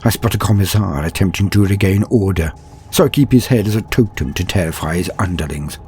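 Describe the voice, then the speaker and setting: A man voicing a cruel, gloating winged warrior in a dramatic first-person story, husky and breathy, with a haughty, menacing, theatrical delivery. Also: low voice, brisk, darkish tone, slight background noise, close to an everyday voice. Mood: savage relish.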